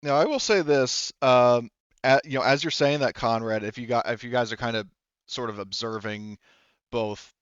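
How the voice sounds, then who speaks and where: A man narrating a tabletop roleplaying game as game master, in a halting, rambling way as if thinking aloud. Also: medium pitch, fairly bright tone, clean tone, no echo, lo-fi sound.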